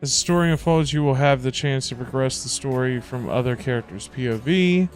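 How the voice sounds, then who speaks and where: A man's voice: mid-range voice, brisk, soft background music. A man livestreaming a video game, talking casually to his viewers. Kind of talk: casual stream chat.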